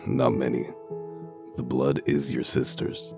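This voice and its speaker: Super low gravelly growl, guy doing a gruff deadpan wolf detective from a comic dub, music behind. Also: slow, full character voice. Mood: dry, blunt. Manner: deadpan, blunt. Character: gruff male wolf detective, cynical and humorless. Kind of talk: dramatic comic dub acting.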